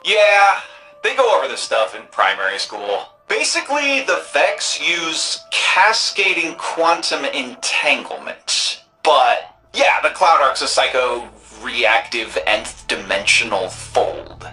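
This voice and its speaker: An actor playing a cocky, upbeat young futuristic city guardian in a video game, breezy, slangy and offhand. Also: male voice, mid-range voice, very animated, takes it slowly, bright, forceful tone, soft background music, exaggerated voice, low-quality recording. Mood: offhand explaining.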